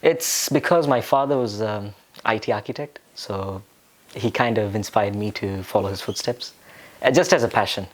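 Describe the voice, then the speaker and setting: A young man from India answering interview questions casually, with many pauses and fillers. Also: fairly low pitch. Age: young adult. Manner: hesitant, with frequent fillers and restarts. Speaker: interview guest.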